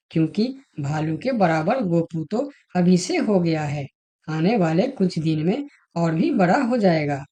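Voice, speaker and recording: A persuasive female animal from a cartoon fable acted by a woman. Her voice is slightly low and mellow. She takes her words very slowly. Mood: scheming. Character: female talking animals, fox and elephant, in a fable. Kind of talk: Hindi animated moral story dialogue.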